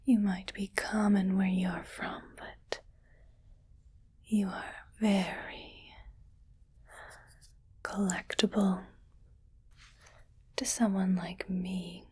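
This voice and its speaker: A woman voicing a greedy, rich female alien collector in an ASMR roleplay, whispering softly and deliberately with a coolly appraising, possessive manner. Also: mid-range voice, level tone, exaggerated voice. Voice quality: soft, breathy whisper. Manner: slow, deliberate, pausing between short phrases, coolly appraising.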